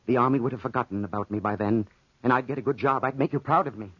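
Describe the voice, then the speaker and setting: A man's voice: medium pitch, fast talker, no room sound, natural voice. A man playing a lovesick young soldier on the run in a 1940s radio drama, earnest, pleading and hesitant. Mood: tender. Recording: muffled, dry 1940s radio recording.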